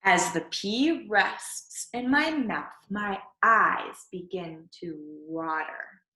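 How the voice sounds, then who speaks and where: A woman's voice: mid-range voice, pushed and bright, roomy sound. Woman acting a dramatic, pea-dreading young boy. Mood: mounting horror. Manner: theatrical, drawn-out suspenseful delivery. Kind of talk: animated storybook read-aloud.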